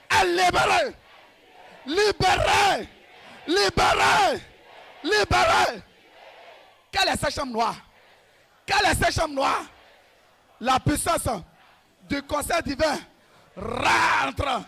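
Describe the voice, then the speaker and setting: A man leads a deliverance prayer at full shout, his voice pitched very high and slightly gravelly. He speaks slowly, punching out short, rhythmic commands one after another. A faint crowd murmurs behind him. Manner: shouts short repeated declarations. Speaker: prayer leader.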